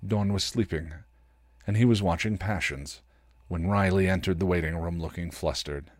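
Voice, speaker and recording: A man reading fan fiction aloud as a podcast host, in expressive story narration. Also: sits low, normal speed, no room sound, studio quality.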